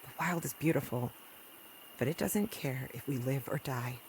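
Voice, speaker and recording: A woman's voice: extremely low pitch, normal speed, darkish tone, a little hiss or hum, rough recording. A woman playing a weary, principled rural doctor in an audio drama, blunt and sardonic. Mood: grieving, reflective. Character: weary, principled rural doctor and mother in post-apocalyptic wilds. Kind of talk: naturalistic audio drama acting.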